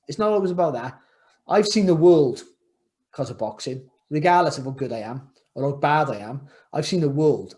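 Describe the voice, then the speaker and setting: A middle-aged man talks over a video call from his home. His voice sits a little high for a man. He speaks earnestly, doubles back on his words and leans hard on key lines. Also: mellow. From Wales. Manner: earnest, repeats phrases and restarts, rising to emphatic. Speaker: podcast guest, former boxer. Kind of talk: casual interview chat. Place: home room, indoors.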